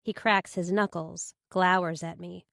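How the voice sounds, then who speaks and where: A woman's voice: fairly low pitch, steady tone, measured pace, gravelly, fairly bright tone, no room sound, studio quality. A woman reading scripted first-person thriller narration as an audiobook narrator.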